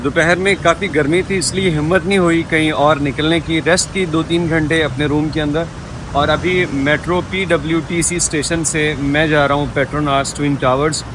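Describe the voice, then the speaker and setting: A man's voice: fairly high pitch, steady tone, moderate pace. A man in his thirties with a Pakistani accent, chatting casually to viewers as a travel vlogger, filming himself on a phone. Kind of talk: casual vlog narration to camera. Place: busy restaurant and open train station. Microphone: phone at arm's length. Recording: noisy restaurant and street ambience.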